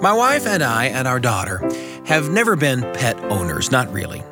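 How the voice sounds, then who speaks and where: A middle-aged American man hosting a radio show and telling personal stories in measured, deliberate phrasing. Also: medium pitch, huge pitch swings, loud music behind the voice. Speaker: radio host and storyteller. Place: dry radio studio.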